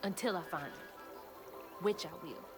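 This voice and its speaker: A woman playing a plucky, stubborn young Southern woman in a video game, earnest and expressive, with an American Southern accent. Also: lowish voice, moderate pace, over loud music, close to an everyday voice. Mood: relieved, wondering. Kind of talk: video game cutscene acting.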